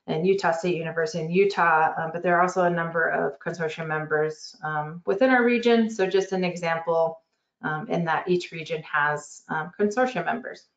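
A woman's voice: on the low side, lo-fi sound. Woman presenting on a roomy video call. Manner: halting, many ums. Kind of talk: webinar presentation.

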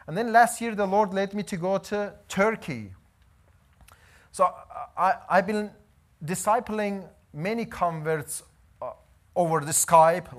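Male missionary, 30s, lively storytelling testimony, fairly high voice. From Iran. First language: Azeri. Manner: animated storytelling, gesturing while pacing. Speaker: missionary preacher. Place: church hall, indoors.

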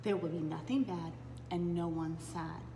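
A woman in her thirties reading a children's Bible story aloud to preschoolers in an animated, dramatic way. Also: on the low side, moderate pace. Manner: animated and dramatic, with gasps, hushed asides and questions to young listeners. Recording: roomy, echoing space with clear background noise.

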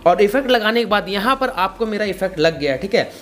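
A man's voice: high-pitched, expressive, fast, a bit bright. A man in his twenties with an Indian accent, hosting a casual step-by-step tutorial in a repetitive, instructional way. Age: 20s. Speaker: YouTube tutorial host.

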